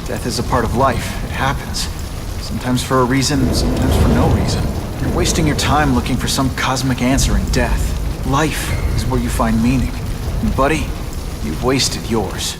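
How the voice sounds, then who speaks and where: An actor playing a wisecracking young superhero in a video game cutscene, quippy and earnest. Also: male voice, mid-range voice, moderate pace, natural voice. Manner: quippy, earnest, sometimes strained shouting. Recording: loud music score underneath.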